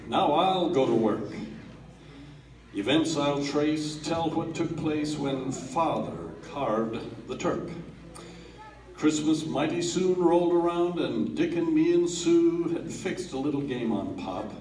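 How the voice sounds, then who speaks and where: A man giving a dramatic reading of a comic poem at a family gathering, theatrical, with swells and hushed drops. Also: a little high, expressive, slow. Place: family holiday gathering in a room. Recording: roomy, distant home video.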